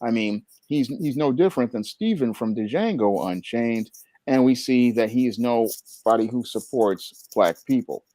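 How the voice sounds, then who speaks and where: Blunt man, mellow dry voice. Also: medium pitch, expressive, unhurried, no room sound. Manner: blunt, scathing. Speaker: guest panelist. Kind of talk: opinionated commentary.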